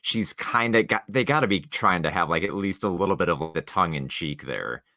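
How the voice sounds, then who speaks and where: Deep-voiced man in casual film talk. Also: lively intonation, bright, forceful tone, dry recording, clean studio sound. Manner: rambling, halting, informal with profanity. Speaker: podcast co-host.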